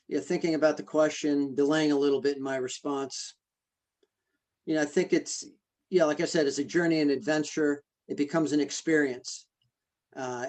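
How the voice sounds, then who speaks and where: A man answering interview questions in a halting, reflective way, with many fillers and restarts. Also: fairly high pitch, darkish tone. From United States. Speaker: guest, ultrarunner. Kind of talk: casual interview conversation.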